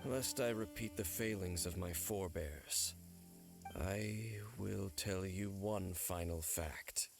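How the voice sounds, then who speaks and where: An actor playing an aloof, cautious male wanderer in a video game, speaking in a grave, measured, guarded way. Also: sits low, gravelly, over music, full character voice. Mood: solemn.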